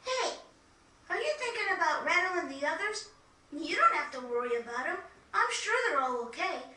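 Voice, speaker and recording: A woman's voice: extremely high pitch, big pitch swings, moderate pace, bright, forceful tone, reverberant, recorded from a distance, exaggerated voice. A woman voicing an eager, chatty, cheeky young boy in a video game, with a chirpy, informal delivery. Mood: eager, reassuring. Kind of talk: video game cutscene acting.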